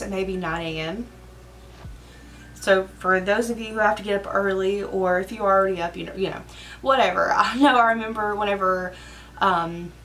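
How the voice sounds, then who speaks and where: Hoarse woman rambling through a makeup vlog, mic kinda far, faint hum. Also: mid-range voice. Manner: chatty and rambling, with filler asides. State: talking around a cough drop. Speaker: beauty vlogger. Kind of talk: casual get-ready-with-me chat.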